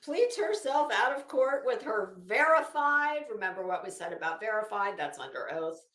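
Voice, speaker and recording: A woman's voice: mid-range voice, big pitch swings, normal speed, fairly bright tone, reverberant, a little hiss or hum. A woman livestream host giving casual, sardonic commentary with theatrical, emphatic readings. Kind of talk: casual commentary with dramatic reading of a legal document.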